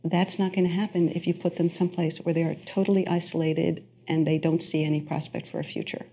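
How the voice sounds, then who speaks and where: A woman's voice: sits low, little pitch movement, fast, creaky, dark tone, husky. A middle-aged woman giving an interview indoors, explaining her view in a reasoned, earnest way. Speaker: interviewee, expert.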